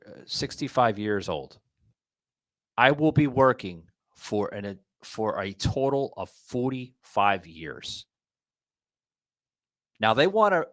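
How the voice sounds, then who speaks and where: Forty-something guy ranting on his podcast, slow and rambly, dry close sound. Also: lowish voice, a bit bright, no echo. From Florida. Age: 40s. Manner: rambling, repeats himself, addresses listeners as folks. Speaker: podcast host. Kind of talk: casual rant monologue.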